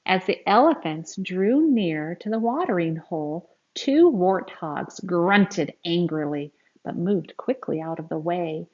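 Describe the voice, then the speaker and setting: Unhurried woman reading to children, lower-pitched. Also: lo-fi sound. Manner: expressive, teacherly, addressing children directly with questions. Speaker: storyteller reading a picture book aloud to children. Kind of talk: read-aloud storytelling with asides to young listeners.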